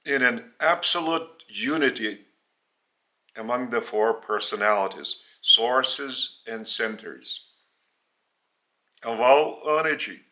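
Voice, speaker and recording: Slow-speaking Lithuanian man preaching. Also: medium pitch, pushed and bright. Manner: slow, halting delivery in short phrases. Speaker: spiritual teacher. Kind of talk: spoken religious teaching in English.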